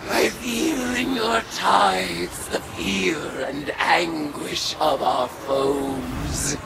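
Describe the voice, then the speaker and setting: An actress playing a solemn, menacing Hive god of vengeance in a video game, with slow, grandiose, ritual speech. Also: female voice, fairly low pitch, creaky, full character voice. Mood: menacing, exalted. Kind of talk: theatrical game voice acting. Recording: loud music under the voice.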